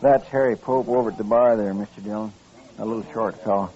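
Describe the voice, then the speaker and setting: A man's voice: on the low side, fairly even intonation, fast talker, lightly acted. A man playing an earnest, deferential deputy sidekick in an old radio western, with a hesitant, folksy rural American drawl. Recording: dull vintage radio recording.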